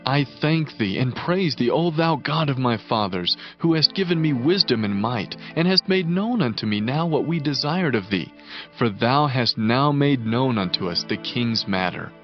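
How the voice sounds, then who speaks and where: An actor playing a devout, solemn Hebrew prophet in a dramatized scripture audiobook, speaking in reverent, emphatic oratory. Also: male voice, mid-range voice, lightly acted. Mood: reverent, thankful. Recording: dull band-limited audio under prominent music. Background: music.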